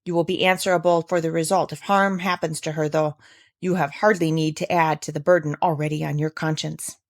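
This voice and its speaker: A woman's voice: deep voice, fairly even intonation, fairly quick. A woman voicing an exasperated, indignant aunt who scolds emphatically in a storybook read-aloud. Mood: curt, defensive. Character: scolding, exasperated young aunt in a children's classic.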